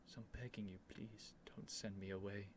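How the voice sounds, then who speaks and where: A man playing an earnest, nervous young prince devoted to a dragon, in a halting, emotional ASMR roleplay addressed to the listener. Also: fairly low pitch, little pitch movement, background noise, close to an everyday voice. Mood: pleading, resigned. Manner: halting, stammering, breathy sighs and whispers, emotional.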